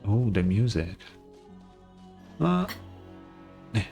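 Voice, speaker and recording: A man's voice: on the low side, big pitch swings, drawn-out words, mellow, soft background music. A man giving casual, informal gameplay commentary, occasionally swearing. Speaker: gaming streamer reading game dialogue aloud. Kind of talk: casual gameplay commentary with dialogue read aloud.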